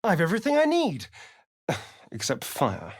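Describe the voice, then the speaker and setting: An elegant snarky male vampire rogue for a game, arch and dramatic, very animated, dry close recording. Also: fairly high pitch, unhurried, breathy edge, dry recording, heavily acted. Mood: arch, sardonic. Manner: arch, theatrical, drawling, disdainful. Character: sardonic, foppish male vampire spawn rogue, elegant and theatrical. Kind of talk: video game voice acting, companion dialogue and narration.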